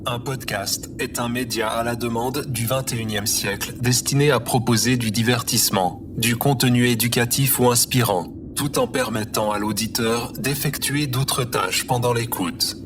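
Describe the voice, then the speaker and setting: A man voicing a literal-minded computer assistant in an audio drama, measured, formal and deadpan. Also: medium pitch, over music, close to an everyday voice. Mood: informative. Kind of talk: scripted audio drama, encyclopedic announcing.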